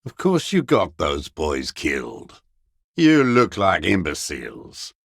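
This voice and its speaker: An actor playing a bitter old communist deserter in a video game, scornful and unrepentant, with a gravelly voice and a contemptuous, sneering manner. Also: male voice, deep voice, huge pitch swings, slow, no echo, studio quality, full character voice. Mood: mocking contempt. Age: older. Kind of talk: dramatic video game character dialogue.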